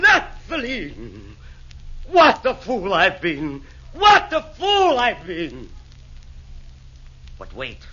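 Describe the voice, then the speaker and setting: A man's voice: a little high, sharp tone, exaggerated voice. A blustering rhyming devil's henchman. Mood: dramatic. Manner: theatrical, blustering, declamatory verse. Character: boastful rhyming devil's henchman, would-be assassin. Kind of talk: 1940s radio acting. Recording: dull lo-fi 1940s radio recording.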